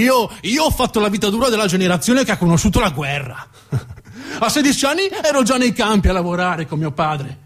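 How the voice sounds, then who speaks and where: A man playing a weary, embittered working-class war veteran in a theatrical monologue, halting and emphatic, with indignant repetitions. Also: high-pitched. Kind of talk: theatrical first-person monologue. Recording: music behind the voice.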